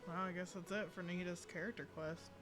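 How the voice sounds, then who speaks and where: A woman's voice: lowish voice, fairly even intonation, fast. A woman doing casual live gameplay commentary as a streamer, rambling and thinking aloud. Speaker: game streamer. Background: loud video game music and battle sounds.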